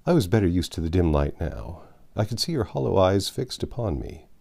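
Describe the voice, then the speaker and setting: Casting: male audiobook narrator for classic fiction, expressive reader, fairly low and soft, dark timbre. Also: a little fast, creaky. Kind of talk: expressive literary reading of a novel.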